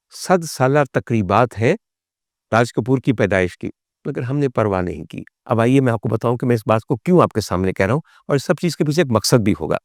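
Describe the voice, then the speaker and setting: A man with a Pakistani accent delivers a storytelling monologue as a vlog host. His voice sits at a medium pitch, and his manner is theatrical and formal, addressing the audience rhetorically and dropping in English phrases. The sound is dry, with very little room in it. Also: no room sound. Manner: mixes English phrases into speech. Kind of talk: storytelling monologue on film history.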